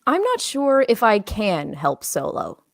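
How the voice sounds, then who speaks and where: A woman's voice: medium pitch, wildly swooping pitch, measured pace, creaky, no echo, studio quality, full character voice. A woman playing a fed-up, blunt alien co-pilot in a comedic script read. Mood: blunt, warning. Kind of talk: comedic live table read of a film script.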